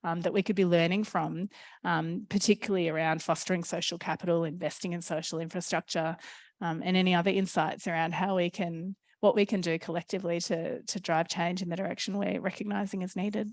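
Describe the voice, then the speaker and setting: Low-voiced woman moderating a panel. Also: steady tone. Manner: measured, introduces and questions with fillers.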